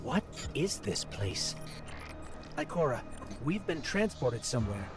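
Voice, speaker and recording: Little robot sidekick, lively intonation, earnest, inquisitive, loyal, game dialogue, music underneath. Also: male voice, medium pitch, a little slow. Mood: uneasy. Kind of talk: scripted video game dialogue. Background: background music.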